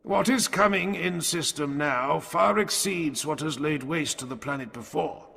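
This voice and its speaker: A man voicing a grim old war commander with a hoarse, gravelly rasp, speaking in an ominous, forceful way in a dramatic audiobook style. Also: a little high, measured pace, pitched above the performer's own voice, full character voice. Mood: grim, foreboding. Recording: long reverb, music underneath.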